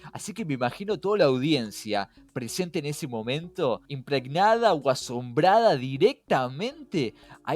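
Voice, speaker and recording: An Argentine man with a Rioplatense accent explains things in a conversational podcast style. His voice is fairly high and very animated in its melody, and he likes to pose a question before answering it. Soft music plays underneath. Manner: rhetorical questions to co-host and listener. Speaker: podcast co-host.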